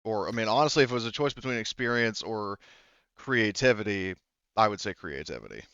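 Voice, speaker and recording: A man's voice: fairly low pitch, animated, fairly quick, a bit bright, clear, resonant tone, dry recording, highs cut off. A man acting as game master of a tabletop roleplaying game, improvising and relaying characters' words in a rambling way.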